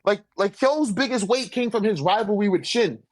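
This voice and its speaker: A man's voice: high voice, normal speed, darkish tone. Man debating casually in slangy talk. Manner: informal, slangy, argumentative; repeatedly checks for agreement. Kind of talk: casual debate about a manga ranking.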